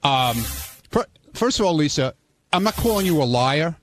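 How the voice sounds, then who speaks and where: A man's voice: on the high side, sing-song, measured pace, slight background noise. A man who is a radio show regular, arguing bluntly and accusingly with coarse language in unscripted talk.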